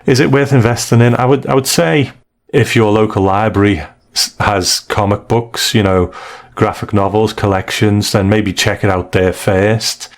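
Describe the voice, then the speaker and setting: A man hosting a podcast, giving his views conversationally in a measured, polite way. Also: lowish voice, fairly even intonation. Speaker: podcast host.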